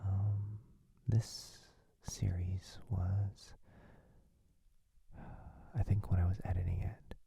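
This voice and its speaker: A man chatting casually in a soft, hesitant whisper, like an ASMR creator signing off to listeners. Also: deep voice, level tone, takes it slowly, vocal fry, pauses often, husky, no echo. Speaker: voice actor and ASMR channel creator.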